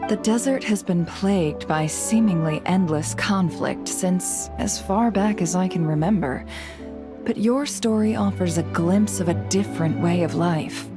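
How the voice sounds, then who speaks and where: A woman's voice: on the low side, measured pace, over music. A woman playing a composed, shrewd guild leader in a video game, with a cool, casually assured delivery. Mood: hopeful, earnest. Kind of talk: dramatic video game voice acting.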